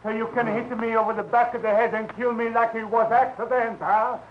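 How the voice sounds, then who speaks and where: A man's voice: very high voice, little pitch movement, brisk. An actor playing an elderly immigrant father, speaking earnest broken English in a 1940s radio drama. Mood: accusing. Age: older. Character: elderly Italian immigrant father, gentle, brave. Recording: dull, hissy old radio recording.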